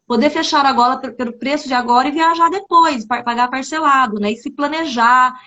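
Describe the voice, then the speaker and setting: Chatty high-voiced woman hosting a live stream. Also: fairly quick, sharp tone. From Brazil. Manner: chatty and upbeat, often asking "né?". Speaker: exchange agency host. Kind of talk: casual live-stream chat. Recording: slightly dull, lo-fi live-stream audio.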